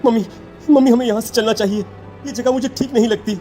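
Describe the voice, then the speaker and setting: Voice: medium pitch, fairly even intonation, quick pace, mellow, faint music behind, lightly acted. A performer voicing a scared teenage son in a cartoon horror story, nervous and repeating words. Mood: uneasy. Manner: stammering, breathlessly fearful, repeating words. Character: frightened teenage schoolboy, anxious son haunted by nightmares.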